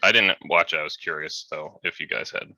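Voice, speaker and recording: A man's voice: extremely low pitch, a little fast, pushed and bright, no echo. A young man chatting casually as a podcast co-host. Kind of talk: casual chat.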